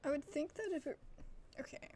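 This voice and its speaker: A woman's voice: high-pitched, fairly quick, darkish tone. A woman game streamer giving casual, chatty commentary, thinking aloud informally over faint background noise. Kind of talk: casual let's-play commentary.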